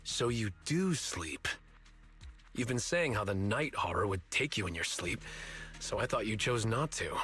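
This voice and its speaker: A caring male companion from a game. His voice is fairly low with a bright, firm edge. He sounds matter-of-fact and mildly teasing. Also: expressive, a little slow, soft background music. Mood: concerned, wry. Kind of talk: scripted game voice acting.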